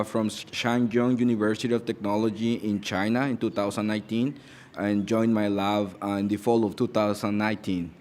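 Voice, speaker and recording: A man introducing his graduate students in a ceremony speech, halting with many fillers and restarts. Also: lowish voice, a little hiss or hum.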